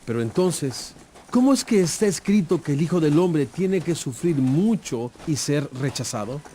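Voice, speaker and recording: An actor playing an authoritative, earnest religious teacher with a solemn, emphatic delivery in a dramatized audio Bible. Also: male voice, a little high, sing-song, moderate pace, warm tone, slight background noise.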